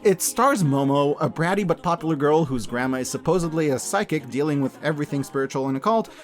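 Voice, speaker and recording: A man's voice: fairly high pitch, animated, quick pace, soft background music. A man hosting a scripted media review, speaking casually and emphatically with enthusiasm and salty language. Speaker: host of a media review channel.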